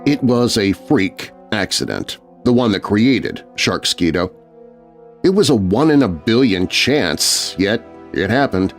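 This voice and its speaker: An American man narrates a spooky children's tale in a lowish voice. His delivery is dramatic and suspenseful, with highly varied intonation, and music plays softly underneath. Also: lively intonation, takes it slowly.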